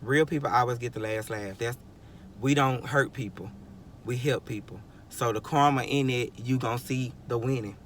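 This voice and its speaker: A man's voice: mid-range voice, moderate pace, a bit bright, slight background noise. A man in his twenties giving a rambling, emphatic motivational monologue, often chuckling and restarting sentences. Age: 20s. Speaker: vlogger.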